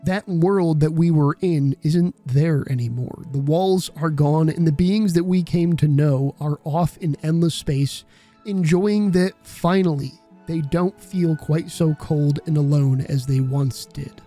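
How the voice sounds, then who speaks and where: A man's voice: fairly high pitch, measured pace, warm tone, faint music behind. A man in his twenties giving an earnest, reflective scripted voice-over narration for a video essay. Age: 20s. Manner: earnest and contemplative.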